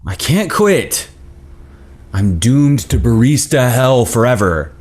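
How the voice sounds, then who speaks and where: A man's voice: medium pitch, big pitch swings, takes it slowly, darkish tone, breathy edge, full character voice. A man playing a weary, self-doubting young boyfriend, hesitant and grumbling, in a screenplay table read. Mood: defeated, irritable.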